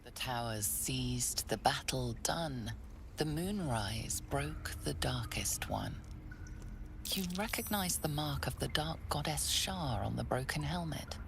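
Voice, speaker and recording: A woman playing an ominous, composed narrator in a fantasy game, telling the story deliberately in the second person. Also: rumbling low, animated, heavily acted. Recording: clear background noise behind the voice.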